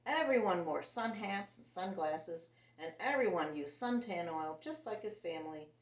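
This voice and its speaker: A woman reads a picture book to little listeners in a lively, expressive voice that rises and falls a lot. The sound is roomy and she sits some distance from the mic. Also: on the low side, normal speed. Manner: animated and expressive, voicing characters. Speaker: children's story reader. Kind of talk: reading a picture book aloud to children.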